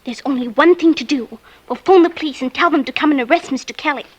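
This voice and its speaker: A woman's voice: sits high, fast, natural voice, poor audio. A woman playing an earnest, frightened, insistent little girl in an old radio drama. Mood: alarmed, determined. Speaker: lead character and narrator. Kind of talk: 1940s-50s radio drama acting. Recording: old radio recording with music.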